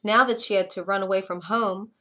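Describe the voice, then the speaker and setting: A woman's voice: medium pitch, steady tone, fairly quick, bright, forceful tone. A woman storyteller reading a scripted bedtime fairytale for children.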